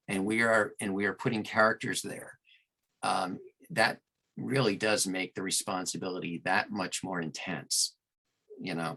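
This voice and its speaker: A man with a very low, creaky voice that still has a forceful, bright edge. He talks as an interview guest, choosing his words in a measured, halting way. The delivery is conversational, like a narrator explaining his craft.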